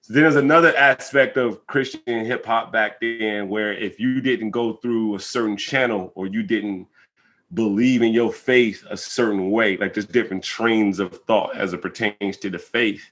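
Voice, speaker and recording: A man's voice: lowish voice, moderate pace, fairly bright tone. An American man speaking as an interview guest, reflecting conversationally in an earnest, emphatic way and often checking in with his listener.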